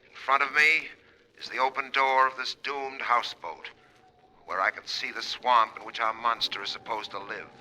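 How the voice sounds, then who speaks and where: A man's voice: medium pitch, fairly even intonation, normal speed, bright, forceful tone, low-quality recording. A man playing a dramatic radio broadcaster in a 1940s radio thriller, with a theatrical, suspenseful delivery. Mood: ominous, suspenseful. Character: bold male radio broadcaster, dramatic, seized by terror. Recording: vintage 1940s radio recording.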